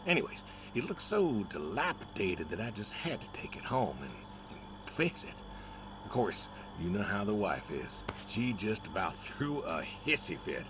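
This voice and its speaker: Older farmer, very low voice, lively swings in tone, folksy, wry, unhurried, video game drama. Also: male voice, background noise. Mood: wistful, wry. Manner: folksy, hesitant, unhurried storytelling. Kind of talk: naturalistic video game acting.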